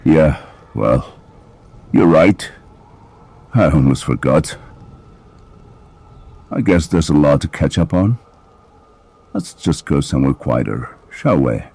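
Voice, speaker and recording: Creepy dead dad, super deep husky voice, slow and taunting, audio drama villain. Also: male voice, animated, darkish tone, full character voice. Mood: mocking, tender. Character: undead father with blank eyes, menacing, mockingly tender.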